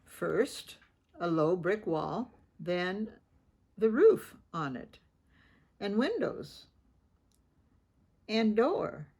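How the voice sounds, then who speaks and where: Creaky-voiced woman reading a picture book. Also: medium pitch, drawn-out words, long pauses. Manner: expressive, informal asides, acts out dialogue. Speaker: children's picture book reader. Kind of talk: read-aloud storytelling with asides about pictures.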